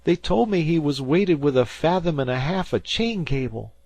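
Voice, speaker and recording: A man's voice: a little high, expressive, normal speed, a little mellow, no echo, voice pushed up. A man voicing a spooked teenage fisherboy. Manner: rustic old-time dialect, breathless and spooked. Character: excited frightened teenage boy fisherman.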